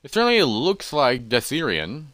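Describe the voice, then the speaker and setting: A man's voice: medium pitch, huge pitch swings, moderate pace, fairly bright tone, no echo, pitched above the performer's own voice. A man voicing a massive, curious explorer creature in a fantasy roleplay, hesitant and thoughtful as he talks to himself. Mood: pondering. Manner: musing, hesitant, talking to himself.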